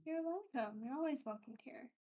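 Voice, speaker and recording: A woman's voice: high voice, lively intonation, moderate pace, dark tone. A woman with a lisp, casually hosting a group chat and inviting people to speak. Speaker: host.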